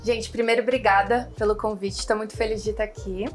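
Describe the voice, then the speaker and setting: A woman's voice: medium pitch, little pitch movement, a little fast, faint music behind. A young Brazilian woman talking casually as an interview guest, chatty and rambling with many fillers. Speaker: guest, founder of an online English school.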